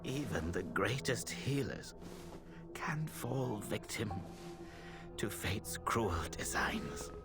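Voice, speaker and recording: Solemn, slow-spoken temple healer, expressive pitch. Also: male voice, over loud music. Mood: solemn, sorrowful. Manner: solemn, formal, thoughtful, old-fashioned diction. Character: grave, compassionate male temple healer, scholarly and measured. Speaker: video game character voice actor. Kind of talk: scripted game dialogue, dramatic and measured.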